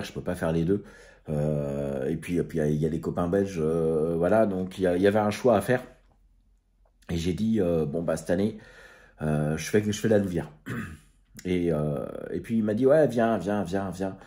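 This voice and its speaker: Guy w a low soft dark voice, just chatting to his followers, kinda searching for words, slangy. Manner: hesitant, groping for words. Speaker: amateur radio video host. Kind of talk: casual unscripted recap talk to followers.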